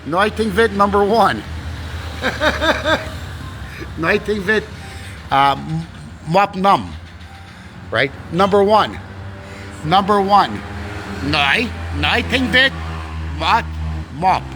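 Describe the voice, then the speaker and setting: A man's voice: sits high, lively intonation, drawn-out words, pauses often, sharp tone. A man vlogging casually, rambling and repeating words with loose grammar, recorded outdoors. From Canada. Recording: noisy outdoor street recording.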